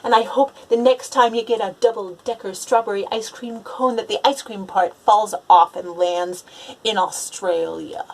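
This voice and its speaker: A woman's voice: medium pitch, fairly even intonation, normal speed, close to an everyday voice, poor audio. A woman voicing a grumbling young boy in a children's storybook, complaining emphatically with words spaced out. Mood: hurt, sulky. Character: grumbling, aggrieved young boy narrating his awful day. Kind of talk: children's storybook read-aloud.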